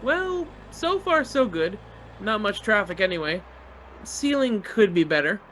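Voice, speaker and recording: An actor playing an eager, carefree young student pilot in a naturalistic radio play, boyish, slangy and enthusiastic. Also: male voice, high voice, big pitch swings, unhurried, fairly bright tone, a little hiss or hum, full character voice, low-quality recording. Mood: carefree, excited. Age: young adult.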